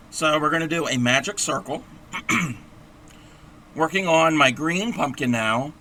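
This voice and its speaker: A man with a hoarse, scratchy voice who talks through a crochet tutorial in a rambling, chatty way and clears his throat often. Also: medium pitch, bright, forceful tone. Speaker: crochet livestream host.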